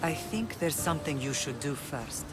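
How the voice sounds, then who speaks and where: An actress playing a commanding, protective middle-aged Spartan mother and leader in a video game, speaking in a measured, formal, authoritative way. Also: deep voice, expressive, over loud music. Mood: solemn, caring. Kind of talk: dramatic video game acting.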